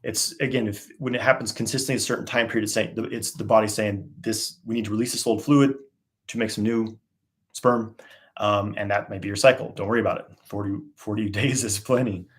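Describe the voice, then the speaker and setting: A man in his thirties answers viewer questions on a live stream. His voice sits on the low side, and he talks in a loose, relaxed way with plenty of fillers. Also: a little fast. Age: 30s. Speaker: host. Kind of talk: live Q&A, casual chat.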